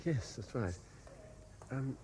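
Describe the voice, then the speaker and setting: A man playing an earnest, troubled lawyer in a radio play, speaking in a measured, careful way. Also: mid-range voice, expressive, background noise. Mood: polite, probing. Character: earnest, troubled male lawyer narrating his surveillance case. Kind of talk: radio play acting with diary-like narration. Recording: 1970s radio drama recording.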